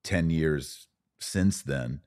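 A man's voice: extremely low pitch, very slow, darkish tone. A man in a casual podcast conversation, hosting and talking in a reflective, anecdotal way. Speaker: podcast host.

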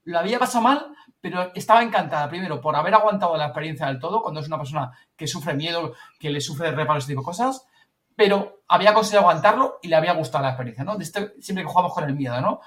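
A man with a Castilian Spanish accent rattles through a casual story in a bright, slightly high voice. He talks very quickly and colloquially, with the animated manner of a podcast host swapping anecdotes with colleagues. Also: distant mic. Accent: Spanish (Spain).

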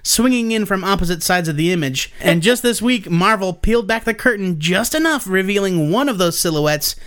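A man co-hosting a comics podcast, reading news items in a measured, dry way and chatting. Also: high-pitched, expressive, a bit bright. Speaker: podcast co-host.

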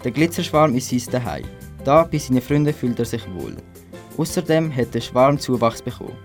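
A man's voice: mid-range voice, animated, moderate pace, faint music behind. A teenage male with a Swiss accent, narrating a scripted children's story. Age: teens. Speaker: radio play narrator.

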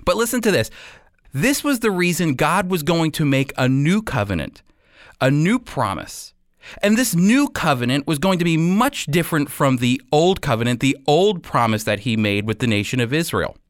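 A man giving a radio Bible teaching, explaining and reading scripture with emphatic, deliberate phrasing. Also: a little high, sing-song, no echo, studio quality.

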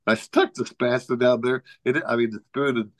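A man's voice: medium pitch, fairly even intonation, a little fast, dry recording. A man co-hosting a casual talk show, telling an anecdote in a rambling way with lots of "you know" and frequent chuckles. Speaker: podcast co-host.

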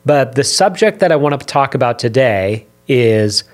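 Raspy-voiced man in his forties. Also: medium pitch, lively intonation, moderate pace. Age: 40s. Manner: earnest, thoughtful, with frequent restarts and fillers. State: raspy from a cold. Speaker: podcast host. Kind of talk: solo podcast talk.

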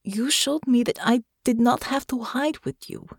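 Woman acting a soft-spoken, earnest farm woman. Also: mid-range voice, big pitch swings, slightly rough, no room sound, studio quality, full character voice. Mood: gentle, intimate. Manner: soft, careful, deliberate, formal phrasing. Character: gentle, earnest young farm woman with foreign speech. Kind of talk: audiobook character dialogue.

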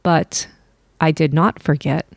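Brief: quiet, steady young princess-cook from a fairy tale, voiced by a woman, dry. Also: low voice, takes it slowly, gravelly, dry recording, full character voice. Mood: firm.

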